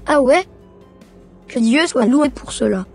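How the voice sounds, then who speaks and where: High, husky young boy, played by a woman. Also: expressive, takes it slowly, darkish tone, soft background music, heavily acted. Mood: earnest, respectful. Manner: earnest, respectful. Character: polite earnest young son, devout and affectionate.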